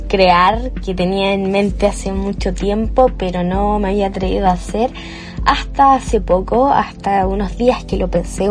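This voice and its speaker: A chatty young Chilean woman hosts her own podcast, rambling happily and improvising as she goes. Her voice sits at an ordinary pitch, and soft music plays faintly behind her through a modest home microphone. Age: young adult. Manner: chatty and cheerful, with fillers and restarts. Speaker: podcast host. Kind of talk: improvised solo podcast monologue. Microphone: cheap home microphone.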